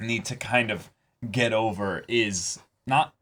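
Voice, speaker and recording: A man's voice: fairly low pitch, takes it slowly, fairly bright tone. A man co-hosting an anime reaction show, chatting casually with plenty of swearing and acting out imagined quotes.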